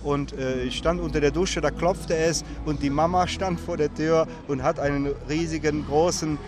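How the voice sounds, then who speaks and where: A man's voice: on the high side, fairly even intonation, normal speed. A man being interviewed outdoors at a summer festival, telling stories in a chatty way with frequent fillers. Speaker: interviewee, long-distance walker. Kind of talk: casual storytelling in an interview.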